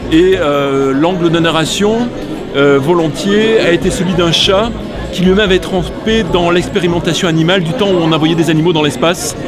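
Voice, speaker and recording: Male novelist, fairly high voice, unscripted presentation, clear music behind. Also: fairly even intonation, normal speed.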